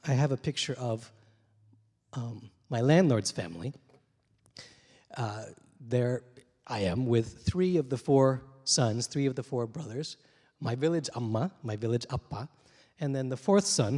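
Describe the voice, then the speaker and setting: A man in his forties, a little hoarse, telling a personal story on a theater stage into a handheld mic, reflective and gently humorous with many small pauses and fillers. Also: medium pitch. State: slightly hoarse from many interviews. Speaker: translator and poet. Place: theater stage, dark room. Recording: long reverb of a theater hall.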